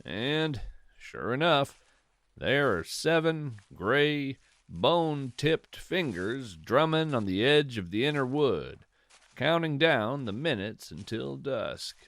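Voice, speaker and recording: A man's voice: mid-range voice, very animated, takes it slowly, fairly bright tone, no echo. A man narrating cozy folklore fiction in the first person as a podcast host, musing in descriptive, literary phrases with conversational asides.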